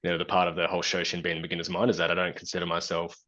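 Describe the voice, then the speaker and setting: Low-voiced man on a video call. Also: monotone, very fast, pushed and bright, dull audio. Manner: thoughtful, many fillers and restarts. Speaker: guest, business founder. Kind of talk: relaxed conversational interview. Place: small plain room indoors.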